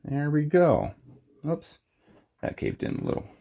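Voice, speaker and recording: A man's voice: fairly high pitch, huge pitch swings, measured pace, creaky, muffled recording. A man giving a casual, unscripted hands-on demonstration as a hobby reviewer, with informal muttered asides. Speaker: toy collector and reviewer.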